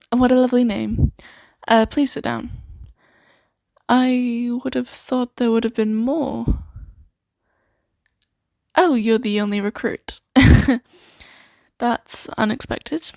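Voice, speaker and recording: A woman playing a friendly, flustered sanctuary worker in an improvised fantasy ASMR roleplay, talking in a hesitant, halting, awkward way. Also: on the high side, vocal fry, darkish tone, no room sound, studio quality. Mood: surprised, welcoming. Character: friendly, flustered young female sanctuary worker named Claire.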